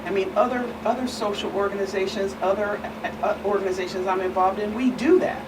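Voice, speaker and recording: A woman guest expert explains earnestly in a panel discussion, with a mid-range voice. She is deliberate and emphatic, repeating phrases for weight. She sounds distant from the microphone, with clear background noise. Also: mid-range voice. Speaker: guest expert, attorney and pastor. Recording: distant mic, clearly noisy room hum.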